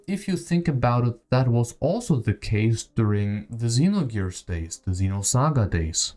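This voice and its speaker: A man's voice: mid-range voice, darkish tone. A man giving casual gaming commentary as a voice-over, conversational and opinionated, often posing rhetorical questions. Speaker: gaming video essayist. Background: background music.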